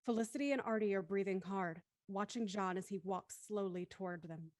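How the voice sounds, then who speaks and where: A woman's voice: medium pitch, a little fast, a little mellow, no echo, studio quality, close to an everyday voice. A woman narrating an audio thriller in scripted present-tense prose.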